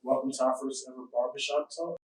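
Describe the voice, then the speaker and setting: A man's voice: mid-range voice, steady tone, brisk. A twenty-one-year-old man, a student leader, co-hosting a panel in an earnest, slightly formal way. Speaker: student government president, co-host. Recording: echoey room, noisy, mic at a distance.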